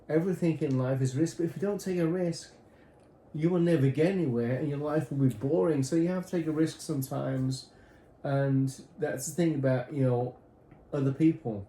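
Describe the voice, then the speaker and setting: A man's voice: mid-range voice, warm tone. A man with a British accent that has drifted toward American, rambling easily and joking in a casual couple's chat. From Britain. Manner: rambling, easygoing, jokey. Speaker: husband in a couple's question game. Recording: echoey room, faint background noise.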